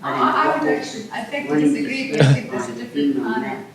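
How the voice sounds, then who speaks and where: A woman in the audience asking the panel a question, halting and starting over several times. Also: extremely low pitch, very animated, creaky, slightly rough.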